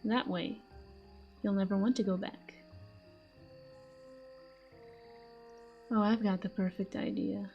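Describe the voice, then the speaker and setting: A woman's voice: mid-range voice, steady tone, normal speed, vocal fry, lo-fi sound, soft background music, lightly acted. A woman playing a possessive, flirtatious fantasy villain in a roleplay audio drama, teasing and smug, speaking intimately to the listener. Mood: smug, threatening.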